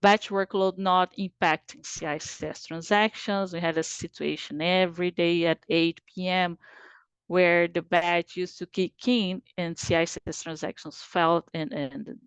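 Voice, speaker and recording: A woman's voice: on the low side, steady tone, unhurried, clear, resonant tone, dry recording. A Brazilian woman, whose first language is Portuguese, gives a technical conference presentation over a video call, explaining carefully with frequent "uh" fillers.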